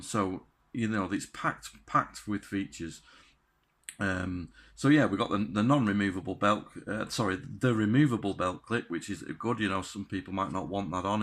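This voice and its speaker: Guy with a low voice doing a casual gadget review, keen but kinda halting, explains as he goes. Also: a bit bright. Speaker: radio gear reviewer.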